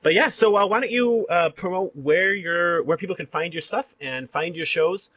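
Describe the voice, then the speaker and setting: A man's voice: sits high, fairly bright tone, dry recording. A man hosting a podcast interview, chatting casually and enthusiastically in a rambling, fannish way. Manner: enthusiastic, rambling, quick to laugh. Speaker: podcast host interviewing a guest. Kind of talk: casual fan chat interview.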